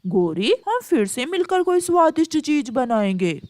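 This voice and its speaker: Cartoon male pigeon, played by a woman: lightly high, lively intonation, exclamatory, sly and chummy. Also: moderate pace, dry recording. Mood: sly, friendly. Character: hungry male pigeon, friendly but slyly vengeful. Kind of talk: Hindi moral-story cartoon acting.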